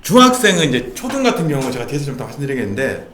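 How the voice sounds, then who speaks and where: Lively fast-talking man explaining math study. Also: mid-range voice, roomy sound, slight background noise. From Seoul. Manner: patient, explanatory, slightly nervous. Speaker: guest math education expert. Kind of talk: explaining study methods in a chat.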